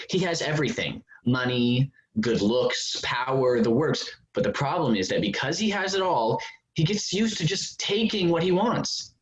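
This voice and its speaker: Man playing a smug novelist on muffled, noisy audio. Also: fairly high pitch. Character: smug, self-justifying male amateur novelist, defensive about his sleazy book. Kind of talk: dialogue in a staged play reading. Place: remote virtual staged reading. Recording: dull, noisy online call audio.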